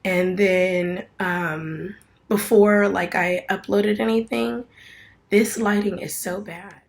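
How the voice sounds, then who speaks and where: A woman's voice: mid-range voice, fairly even intonation, slow, vocal fry. A woman in her twenties talking casually to her viewers in a rambling home vlog, full of fillers and restarts. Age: 20s. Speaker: vlogger.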